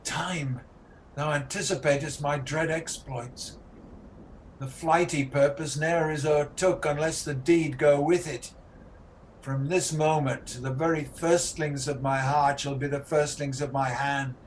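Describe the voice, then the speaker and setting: A man plays a haunted tyrant king in a Shakespearean radio drama. His voice sits a little high, brooding and ruthless, over faint noise from a home video-call recording. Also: fairly even intonation, a little slow, lightly acted, low-quality recording. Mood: grim, resolved. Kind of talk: amateur Shakespearean radio play.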